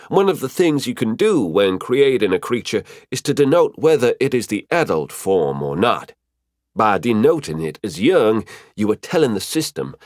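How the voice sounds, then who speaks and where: A man's voice: on the low side, no room sound, studio quality. A man voicing a cheerful, teasing female spirit mentor in an audiobook, chatty and fond as she explains things. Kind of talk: audiobook character voice.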